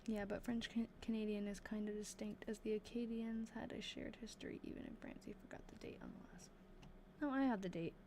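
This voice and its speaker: A young woman with a New England accent, chatting casually on a live stream as she reads an old diary aloud slowly and thinks out loud. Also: medium pitch, fairly even intonation, a little hiss or hum. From New Hampshire. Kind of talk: casual livestream chat and slow diary reading.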